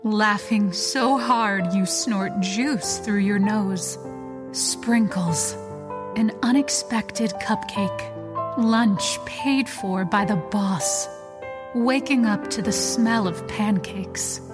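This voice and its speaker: A woman's voice: mid-range voice, drawn-out words, music in the background. A woman playing an upbeat, polished advertising announcer in a parody commercial for an audio play. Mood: soothing, selling. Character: upbeat, slick female advertising announcer selling disaster-era products.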